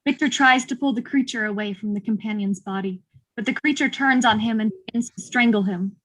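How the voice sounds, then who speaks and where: A woman's voice: on the high side, a little fast, a bit bright, low-quality recording. A woman narrating stage directions as scripted narration in a play reading.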